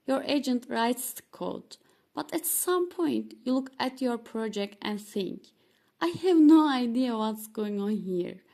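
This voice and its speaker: Woman with a gravelly edge, Turkish native. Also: fairly high pitch, darkish tone. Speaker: developer demoing her software. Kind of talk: scripted screen-recording walkthrough.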